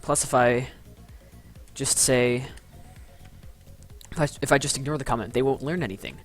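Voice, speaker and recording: A man's voice: mid-range voice, normal speed, soft background music. A young man in his teens chatting casually on a live stream, stuttering and restarting often as he bluntly roasts commenters. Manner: stuttering, rambling, with frequent restarts.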